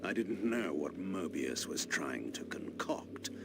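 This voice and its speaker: An actor playing a proud, brooding ancient vampire in a video game, speaking in formal, theatrical, measured diction. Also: male voice, low voice, very animated, heavy background noise, heavily acted. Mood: brooding, ominous. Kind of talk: dramatic scripted narration and dialogue for a video game.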